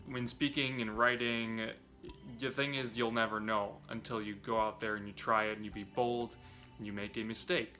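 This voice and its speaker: A man's voice: mid-range voice, bright, forceful tone. A young man earnestly explaining language learning tips straight to his viewers, talking to them as "you guys". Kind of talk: direct-to-camera tips video.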